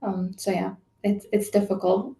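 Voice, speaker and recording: A woman speaking as an HR and diversity leader, slow to find her words and filling pauses often. Her voice is gravelly and mellow, held at a flat, even pitch. The recording sounds roomy and echoey. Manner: hesitant, many fillers. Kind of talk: panel discussion answer.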